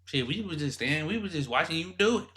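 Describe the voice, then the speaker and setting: A man's voice: a little high, expressive, fast talker, fairly bright tone. A man hosting a reaction video in casual, slangy, repetitive speech with an incredulous manner.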